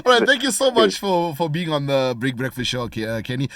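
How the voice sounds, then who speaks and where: A man hosting a radio show, relaxed and affirming as he wraps up with a guest. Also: a little high, brisk, no room sound, distant mic. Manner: easygoing, affirming. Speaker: radio host. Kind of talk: casual radio chat.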